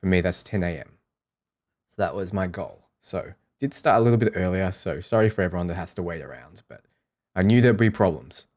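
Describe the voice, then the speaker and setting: Low-voiced Australian man streaming casually. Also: brisk, darkish tone. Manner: informal, thinking aloud. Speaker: live streamer and host. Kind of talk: casual livestream chat.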